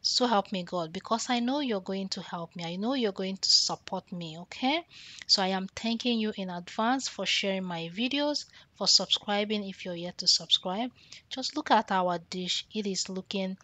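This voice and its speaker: A woman's voice: mid-range voice, moderate pace, bright, forceful tone, no room sound. A Nigerian woman vlogging as she cooks, chatting to her viewers and repeating words for emphasis. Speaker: cooking vlogger. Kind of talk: casual cook-along chat.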